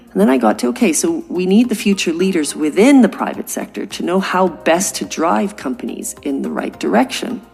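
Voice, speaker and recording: A woman's voice: on the low side, vocal fry, darkish tone. A Canadian woman in her forties hosting a podcast, telling a personal story in an earnest, reflective way. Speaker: podcast host. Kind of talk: scripted podcast monologue.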